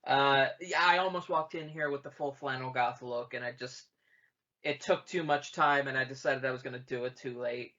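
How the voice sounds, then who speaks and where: A man around thirty hosts a casual podcast, laughing often and turning the talk from one co-host to the next. His voice is bright and forceful, and the mic sounds dull and lo-fi. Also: medium pitch, normal speed. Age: 30s. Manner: laughing, moderating, steering the talk. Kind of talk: casual chat.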